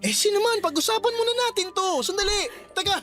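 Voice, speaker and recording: A woman's voice: very high-pitched, fast talker, music in the background. A woman acting a possessive, insistent young best friend in an argumentative, emotional radio drama style. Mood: desperate, pleading.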